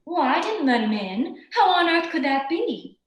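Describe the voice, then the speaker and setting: A woman's voice: sits high, big pitch swings, normal speed. Woman playing a cool femme fatale, echoey room. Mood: defensive. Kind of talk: old-time radio detective drama acting.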